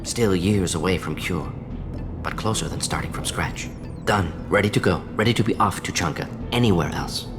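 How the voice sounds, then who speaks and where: An actor playing a brisk alien scientist in a video game, speaking in clipped, clinical sentences that drop small words. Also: male voice, low-pitched, over music, close to an everyday voice. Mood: pensive, brisk. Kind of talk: video game dialogue acting.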